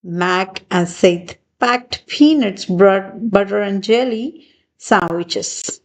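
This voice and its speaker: A woman reading a picture book aloud for young children, with deliberate, expressive phrasing. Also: medium pitch. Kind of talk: read-aloud storybook narration for young children.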